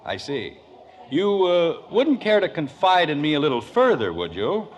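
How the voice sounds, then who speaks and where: A man's voice: on the high side, wildly swooping pitch, moderate pace. An actor playing a sarcastic, exasperated middle-aged American suburban father in a 1950s radio sitcom. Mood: suspicious, sardonic. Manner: dry sarcasm, sputtering indignation. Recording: noisy old radio broadcast recording.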